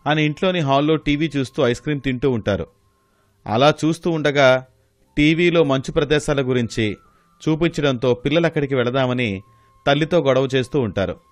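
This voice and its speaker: A man's voice: medium pitch, a little fast, no room sound. A man reading scripted narration for a moral story.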